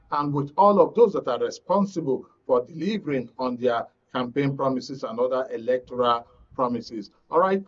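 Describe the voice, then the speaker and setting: Male Nigerian programme host, mid-range voice, live presenting style, lo-fi broadcast sound. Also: medium pitch. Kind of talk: live current affairs presenting. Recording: dull lo-fi broadcast audio.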